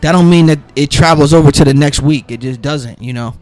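A man with a raspy, worn-down voice talks through a casual solo podcast, sounding a bit sick. He rambles loosely, restarting lines and filling gaps with ums. His voice sits a little high for a man, with faint music underneath. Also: brisk, a little mellow. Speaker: podcast host making football picks.

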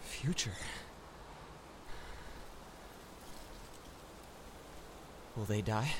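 An actor playing an earnest, headstrong young rebel apprentice in an audio drama, with a pleading manner. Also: male voice, fairly low pitch, expressive, normal speed, noisy background, close to an everyday voice. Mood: anguished.

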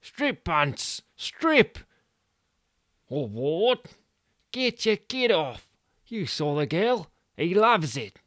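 A man's voice: a little high, slow, smooth, dry recording, clean studio sound, voice pushed up. Man playing a boastful reckless TV director. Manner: boastful, pushy, swears.

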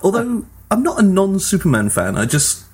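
A man's voice: sits high, huge pitch swings, moderate pace, breathy edge. A man hosting a casual group chat show, talking in an informal, conversational way. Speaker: podcast host. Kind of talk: casual group chat about films and games.

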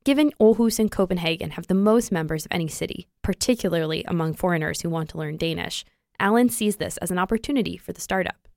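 A woman narrating a news feature in a measured, scripted delivery. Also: on the low side, gravelly, a little mellow, dry recording, clean studio sound.

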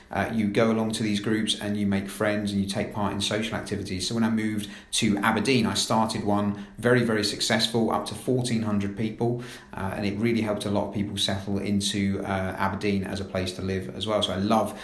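London guy, 30s, chatty new staff intro, repeats "very, very", roomy sound. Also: lowish voice. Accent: London English. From London, England. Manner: chatty, frequent "uh" fillers and repeated "very, very". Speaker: assistant director of recruitment.

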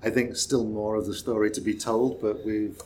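A man hosting an interview, wrapping up the chat in a relaxed and courteous way. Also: on the low side, normal speed, slight background noise. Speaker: podcast host.

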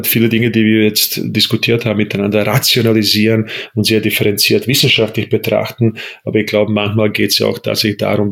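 A man being interviewed, speaking measured and hesitant with fillers. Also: fairly low pitch, vocal fry, fairly bright tone. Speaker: guest. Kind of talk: interview conversation.

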